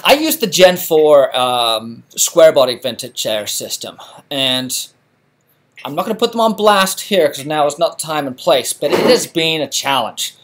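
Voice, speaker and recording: Middle-aged man from England, casual live-stream host, relaxed chatty delivery with fillers. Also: on the high side, measured pace, slight background noise. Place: home garage.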